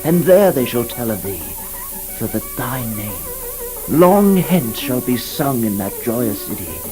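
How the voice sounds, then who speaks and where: A man's voice: medium pitch, sing-song, measured pace, music in the background, rough recording. An actor playing an ardent old king recounting a dream of lost love, lyrical and declamatory, in poetic radio drama style. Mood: impassioned, yearning.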